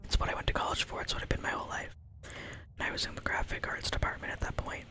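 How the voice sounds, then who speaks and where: Young woman whispering a rambling story. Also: fast. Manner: soft whisper, rambling with many asides. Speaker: ASMR storyteller. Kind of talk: whispered ASMR storytime while gaming.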